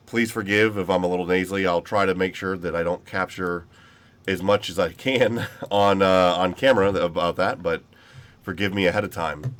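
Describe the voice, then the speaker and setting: A man hosts casual gameplay commentary and rambles through what he is doing in an easygoing way. He is a little sick, so his very deep voice comes out slightly nasal. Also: fairly bright tone. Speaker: gaming channel host.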